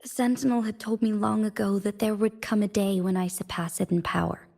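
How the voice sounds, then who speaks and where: A young city magistrate in a fantasy game. Her mid-pitched voice is formal and composed, carrying solemn duty and quiet resolve. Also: fairly even intonation, brisk, vocal fry. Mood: grave, reflective. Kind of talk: scripted video game dialogue.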